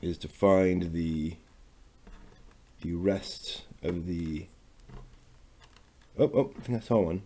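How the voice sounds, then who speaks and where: Slow, low-voiced man muttering while crafting. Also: warm tone. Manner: muttering to himself in short unhurried phrases. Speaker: maker hosting a craft workshop video. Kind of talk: casual thinking aloud while crafting.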